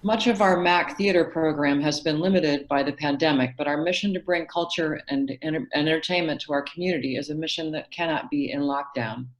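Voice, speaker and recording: A woman's voice: sits low, fairly quick, few pauses, rough recording. A woman giving a scripted welcome address as president of an arts organization's board.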